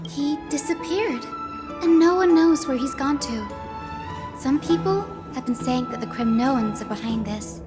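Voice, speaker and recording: A woman voicing a cute, childlike girl companion in a video game, sweet, curious and expressive. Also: high voice, measured pace, over music. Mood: curious, concerned. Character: cute, high-voiced small girlish companion, sweet and curious. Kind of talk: anime-style video game voice acting.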